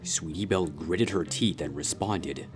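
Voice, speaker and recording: A man reading scripted fan fiction as a dramatic story narrator, with a husky voice. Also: sits low, measured pace, over music.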